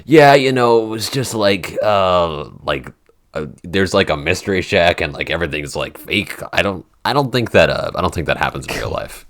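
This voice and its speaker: Very deep-voiced man, halting casual chat. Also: expressive, a bit bright. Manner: halting, rambling, frequent "like". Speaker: podcast creator and co-host answering listener questions.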